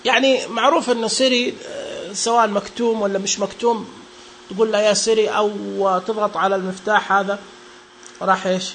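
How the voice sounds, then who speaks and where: A man's voice: high voice, fairly even intonation, brisk, fairly bright tone, slight background noise. A young man casually walking through phone accessibility settings, chatty and joking, echoing the screen reader's words. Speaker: tech reviewer demonstrating phone accessibility settings. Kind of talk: casual spoken walkthrough.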